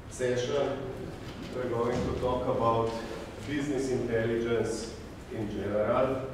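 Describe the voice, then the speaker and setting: A man's voice: medium pitch, recorded from a distance. A middle-aged man from Slovenia with a Slovenian accent, giving a technical conference talk and naming items one by one with light self-deprecating humour. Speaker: conference speaker. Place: large conference hall. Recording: echoey hall, speaker off-mic, audible noise.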